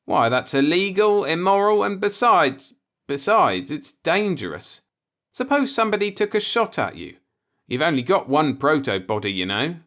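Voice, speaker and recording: Man acting an earnest, righteous man, animated intonation. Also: on the high side, normal speed, voice pushed up. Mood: alarmed. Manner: earnest, insistent. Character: earnest, law-abiding man, easily alarmed and righteous. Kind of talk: audiobook character voice in a sci-fi story.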